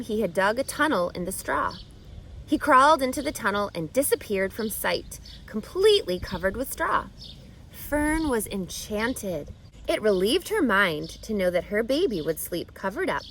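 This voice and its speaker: Female storybook reader, animated intonation, deliberate emphasis, noticeable background noise. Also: medium pitch. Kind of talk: expressive children's read-aloud narration.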